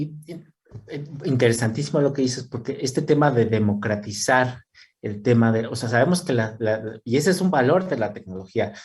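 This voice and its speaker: A man's voice: mid-range voice, darkish tone. Male panel moderator with a plain voice, asks questions and restarts his phrases. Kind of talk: panel discussion.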